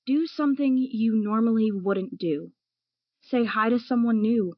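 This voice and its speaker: A woman as gentle advice-giving girl Alice. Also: fairly high pitch, measured pace, no room sound, natural voice. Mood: encouraging. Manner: gently advising, patient. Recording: dull lo-fi recording.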